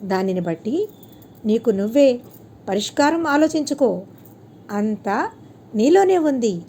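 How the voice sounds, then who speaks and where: A woman voicing a serene, wise male holy teacher in a storybook, calmly and deliberately admonishing with rhetorical questions. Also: a little high, slight background noise. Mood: solemn, advising.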